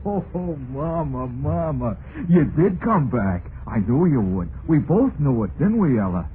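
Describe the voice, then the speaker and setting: Simple, slow-talking son, swooping pitch. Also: male voice, very animated. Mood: anxious, overjoyed. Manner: slow-witted, plaintive, folksy. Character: simple, trusting son longing for his missing mama. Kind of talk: old-time radio drama acting. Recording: tinny, noisy vintage radio recording.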